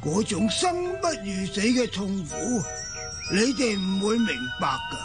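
Solemn old tribe shaman for radio drama, high pitched, really drawn out and theatrical. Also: very animated, unhurried, music in the background. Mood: grave, insistent. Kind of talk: Cantonese radio drama acting.

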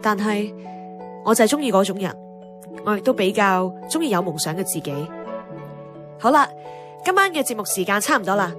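An actress playing an idealistic, earnest radio host with a Hong Kong accent, delivering a reflective scripted monologue in an audio drama. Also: female voice, mid-range voice, sing-song, fairly quick, pauses often, over music, natural voice. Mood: bright, signing off. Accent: Hong Kong Cantonese.

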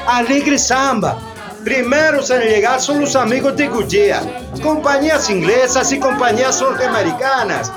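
A woman's voice: sits high, moderate pace, loud music behind the voice, a loud crowd, natural voice. An actor, a woman, playing a festive Brazilian carnival announcer in a radio drama, theatrical and exclamatory, with a Brazilian accent and Portuguese mixed into the Spanish. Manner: theatrical, exclamatory, repeats phrases.

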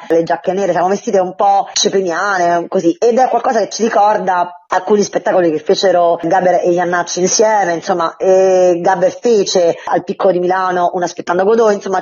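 A woman's voice: medium pitch, a little mellow, husky, muffled recording. A woman, an actress, being interviewed and explaining her work in an expansive, articulate, conversational way.

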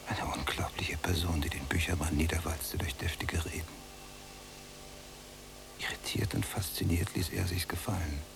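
An actor playing a brooding, embittered prisoner in a German radio drama, with a gravelly voice and a sardonic, often hushed delivery. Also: male voice, low voice, steady tone, normal speed, whispered, over music. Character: brooding, embittered male prisoner, younger cellmate. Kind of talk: German radio drama acting, literary monologue.

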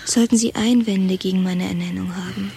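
An actress as a coolly authoritative female director in a radio drama. She speaks at a middle pitch in a measured, controlled way. There is faint noise and rumble behind her voice. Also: steady tone, gravelly, lightly acted. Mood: cool authority. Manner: measured, controlled, quietly commanding. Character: composed, coolly authoritative newly appointed female vice director. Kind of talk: radio play acting.

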